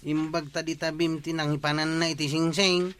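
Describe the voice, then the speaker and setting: A man's voice: a little high, level tone, normal speed, a bit bright, close to an everyday voice. A man playing a practical village companion in an Ilocano radio comedy, with an Ilocano accent. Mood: bantering.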